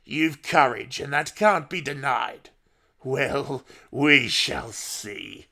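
An imperious old woman, voiced by a male narrator in a dramatic audiobook. The delivery is slow and deliberate, with taunting menace and cold command. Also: medium pitch, gravelly, fairly bright tone. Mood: affronted, grudging.

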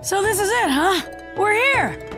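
A woman voicing a brash, eager, hot-headed teenage boy in an anime game dub, loud and blunt with some rasp. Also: very high voice, very animated, a little slow, music in the background, exaggerated voice. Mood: cheerful, eager.

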